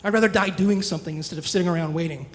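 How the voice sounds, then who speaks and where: A man's voice: high voice, fairly even intonation, rapid-fire, lightly acted. A man playing a nervous, apologetic but resourceful young space traveler, hesitant and stammering, in a live radio drama. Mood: urgent, anxious. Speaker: radio theatre actor. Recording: noisy live hall with long reverb.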